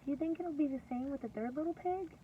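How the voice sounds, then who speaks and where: A woman teacher reading a story aloud to young children, expressive and deliberate, pausing to explain and ask questions. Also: sits high. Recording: distant, dull lo-fi recording with faint noise.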